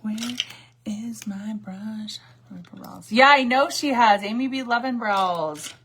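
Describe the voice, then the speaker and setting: A woman's voice: mid-range voice, slow pace, fairly bright tone, a little hiss or hum. A woman in her forties chatting casually on a livestream while doing her makeup, sassy and chatty, answering viewer comments and laughing often. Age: 40s. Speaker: livestream host.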